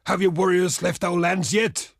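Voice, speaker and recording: An actor playing a proud, gruff barbarian chieftain in a video game, declaiming portentously in a gravelly, husky voice. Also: male voice, high-pitched, steady tone, moderate pace, no room sound, clean studio sound, full character voice. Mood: stern, solemn. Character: proud, gruff barbarian chieftain envoy, earthy, superstitious, warlike. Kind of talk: theatrical video game diplomacy voice lines.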